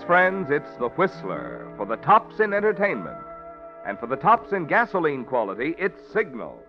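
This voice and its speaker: A man with a booming, salesy announcer delivery introduces the program and reads the ad, his pitch swinging widely. The sound is a dull vintage radio recording with faint music beneath.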